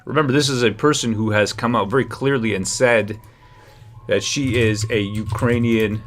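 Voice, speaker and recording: A man's voice: mid-range voice, fairly even intonation, moderate pace, slight background noise. A man hosting a political commentary livestream, speaking emphatically and straight to his viewers. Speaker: livestream host.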